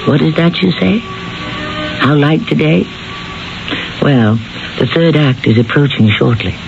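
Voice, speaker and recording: A male host narrates a radio mystery in scripted 1970s style. His voice sits fairly high, with an urbane storyteller's manner. The sound is a dull old broadcast with music clearly playing behind him. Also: animated. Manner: measured, urbane storyteller addressing listeners.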